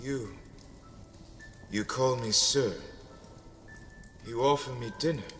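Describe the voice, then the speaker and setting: A man playing a desperate, embittered ex-convict, pleading in loud, agitated, insistent outbursts. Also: medium pitch, long reverb tail, voice pushed up. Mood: stunned, grateful. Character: desperate, embittered male ex-convict begging for shelter.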